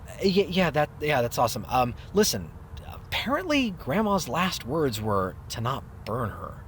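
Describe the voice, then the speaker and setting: A man's voice: medium pitch, huge pitch swings, unhurried, fairly bright tone, a little hiss or hum. A man playing an uneasy grown grandson who narrates an audio drama and talks in a hesitant way. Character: grown grandson, uneasy, relieved, reluctant with his family. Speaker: narrator and lead character. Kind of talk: first-person audio drama narration and dialogue. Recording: music bed under the voice.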